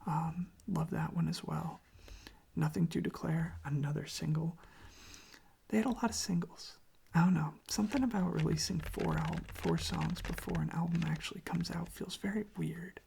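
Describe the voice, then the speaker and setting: An ASMR host speaking in a soft, breathy, husky whisper, rambling casually and gently in an informal, hushed way. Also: medium pitch, lively intonation, moderate pace.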